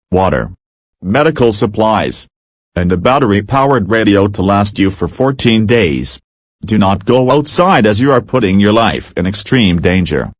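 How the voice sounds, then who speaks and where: A man delivers a mock emergency broadcast in a flat, formal manner. His voice sits a little low and sounds husky. The recording is dry and close. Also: no room sound. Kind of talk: mock emergency alert reading.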